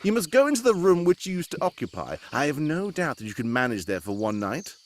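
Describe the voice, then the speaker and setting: A man's voice: a little high, very animated, quick pace. A man playing a brusque, condescending detective in a live cold-read radio drama parody, theatrical and commanding. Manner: theatrical, commanding, breaking into ad-libs. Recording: live stage recording with background noise.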